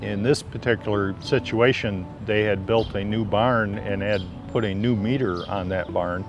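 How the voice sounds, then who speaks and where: A man's voice: on the low side, steady tone, normal speed, highs cut off. A man working as an energy auditor, telling anecdotes in an interview. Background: clear background music.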